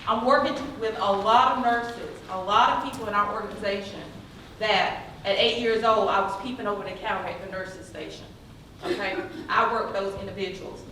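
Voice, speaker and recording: A woman's voice: medium pitch, fairly even intonation, moderate pace, bright, forceful tone. A Southern American nurse in her forties or older tells her career story on a panel, with many ums, earnest and proud. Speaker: nurse speaking on an employer panel. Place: large conference hall. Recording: echoey hall, mic far off, noise.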